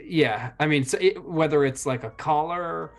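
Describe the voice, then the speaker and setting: A man's voice: a little high, normal speed. A man playing a tabletop roleplaying game, improvising in character and casually discussing play.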